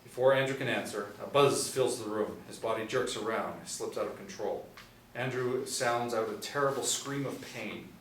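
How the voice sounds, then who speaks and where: A man's voice: mid-range voice, moderate pace, a bit bright. A man in his forties reading screenplay stage directions as scripted narration. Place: small indoor room, seated. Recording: roomy, distant mic, faint hiss.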